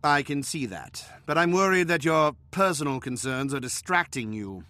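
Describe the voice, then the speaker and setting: A man's voice: fairly high pitch, sing-song, moderate pace, sharp tone, dry recording. A man voicing a pompous, exacting small gnomish inventor who leads a research team in a video game, speaking precisely and formally. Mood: officious, businesslike. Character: pompous, exacting male asura inventor leading a research krewe. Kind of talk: video game cutscene voice acting.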